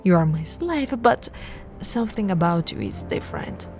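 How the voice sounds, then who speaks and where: A woman voicing a possessive, seductive vampire royal in an ASMR roleplay, teasing and often slipping into breathy whispers. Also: on the low side, huge pitch swings, faint music behind, full character voice. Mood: haughty, intrigued. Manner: slow, teasing, breathy whispering with soft laughs.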